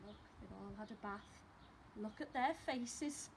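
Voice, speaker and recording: Female storytime teacher: high, unhurried, expressive read-aloud for young children, noisy background. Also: gravelly. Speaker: primary school teacher reading stories.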